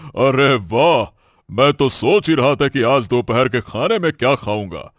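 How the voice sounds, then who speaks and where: Slow, menacing, hungry lion. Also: male voice, mid-range voice, no room sound, clean studio sound. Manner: slow, gloating, drawn-out delivery. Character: menacing hungry male lion, king of the jungle. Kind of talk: Hindi animated moral story acting.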